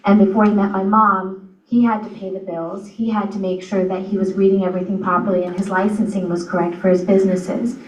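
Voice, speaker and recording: A woman's voice: mid-range voice, little pitch movement, fairly quick, few pauses. Woman from Virginia, panel moderator, roomy echo, dull distant sound. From Virginia, USA. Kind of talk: panel discussion. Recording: dull echoey room mic from a distance.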